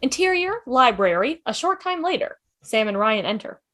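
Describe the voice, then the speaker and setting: A woman's voice: on the high side, big pitch swings, moderate pace, a bit bright. A woman reading stage directions as scripted narration at a table read over a video call. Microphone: video call microphone.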